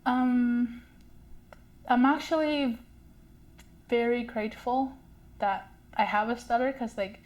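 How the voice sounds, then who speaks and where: A young woman with a stutter speaks slowly and haltingly, repeating sounds and leaning on fillers. Her voice sits fairly high, and she takes her time with each phrase. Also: steady tone, a little mellow, dull audio. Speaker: community member sharing a story. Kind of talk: personal testimony.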